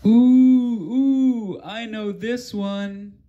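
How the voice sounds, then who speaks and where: A man voicing an excitable, theatrical storybook character who is easily alarmed and exclaims dramatically. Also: high-pitched, drawn-out words, phone-quality sound, pitched above the performer's own voice. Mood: excited alarm.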